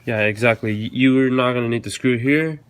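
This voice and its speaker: A man's voice: mid-range voice, a little fast, clear, resonant tone, dry recording. A man hosting a car installation tutorial, explaining each step casually and informally, repeating himself as he goes. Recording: noisy, clicks and vehicle sounds behind.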